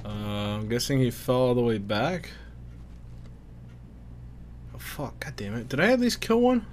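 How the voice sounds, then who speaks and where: Male gamer, medium pitch, casual frustrated muttering with swearing, faint war-game noise behind. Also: animated, measured pace. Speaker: gamer playing a shooter. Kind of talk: casual gameplay commentary. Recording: game gunfire and ambience behind. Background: war game sounds, gunfire.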